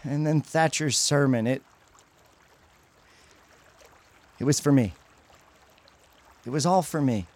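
An actor playing an anguished, devout teenage boy in an audio drama, full of self-loathing and stammering as he speaks. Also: mid-range voice. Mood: ashamed, despairing. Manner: halting, stammering. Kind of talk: emotional audio drama acting.

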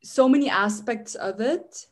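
A woman's voice: fairly high pitch, a little slow. A young woman from Syria, a guest in a casual interview, chatty and rambling with lots of "like" and frequent restarts. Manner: chatty, restarts often, says "like" a lot.

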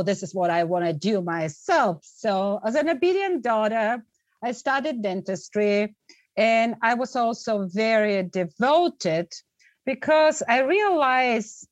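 A woman's voice: mid-range voice, dull audio. A middle-aged woman speaks as a podcast guest. Her words are reflective and earnest, and she often restarts a phrase before she goes on. Her voice sits in a middle register and sounds dry and close, with no room echo. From Bulgaria. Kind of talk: conversational storytelling.

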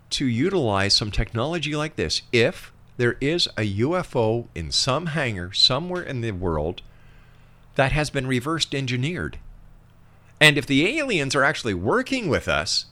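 A man's voice: medium pitch, sing-song, a little slow, pushed and bright. A man hosting a talk interview, putting probing questions emphatically and conversationally to a guest he addresses as a friend. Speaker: interview show host.

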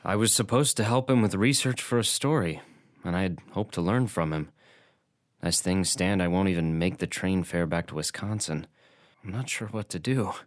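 An actor playing a shy, earnest young horror writer in a radio drama, hesitant and polite, with a dreamy streak. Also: male voice, deep voice, normal speed, lightly acted. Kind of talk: dramatized audio drama dialogue.

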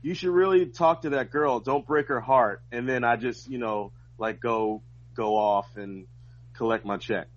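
A man's voice: medium pitch, unhurried. American man chatting, slightly dull lo-fi audio. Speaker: comedian, podcast guest. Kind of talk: casual conversational chat. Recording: dull, lo-fi remote-sounding audio.

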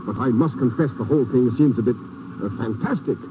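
A man's voice: medium pitch, fairly quick, lightly acted. Man playing formal ship's captain, muffled noisy recording. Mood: sceptical. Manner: formal, courteous, doubting. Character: brisk, sceptical male ship's captain. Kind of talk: 1950s radio drama acting. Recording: dull, noisy old radio recording.

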